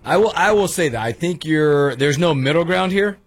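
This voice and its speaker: Husky-voiced male radio co-host. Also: a little high, expressive, moderate pace, no room sound. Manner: chatty, emphatic, thinks aloud. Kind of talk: casual sports radio chat.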